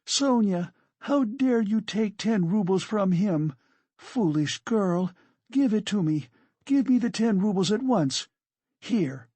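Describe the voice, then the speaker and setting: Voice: medium pitch, big pitch swings, slow pace, a little mellow, no room sound, pitched above the performer's own voice. A narrator voicing a hysterical, fiercely proud and indignant poor woman in a dramatic audiobook reading. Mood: frantic, commanding. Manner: shrieking, frantic, exclamatory.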